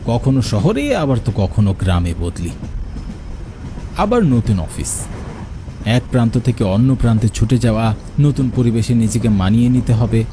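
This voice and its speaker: A man's voice: medium pitch, background noise. A man playing an earnest postmaster, narrating his own ghost story expressively in a scripted cartoon. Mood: resigned, steady. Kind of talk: first-person scripted cartoon horror narration. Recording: music behind the voice.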